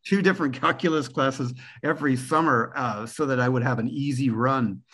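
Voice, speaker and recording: A man's voice: mid-range voice, moderate pace, a bit bright. Older American man telling stories. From Pennsylvania, USA. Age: 60s. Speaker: guest. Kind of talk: relaxed conversational storytelling.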